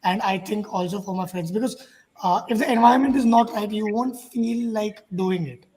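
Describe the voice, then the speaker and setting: A woman's voice: medium pitch, normal speed, a little mellow, slight background noise, rough recording. A young woman from India giving a casual personal account on a panel, hesitantly piecing her thoughts together.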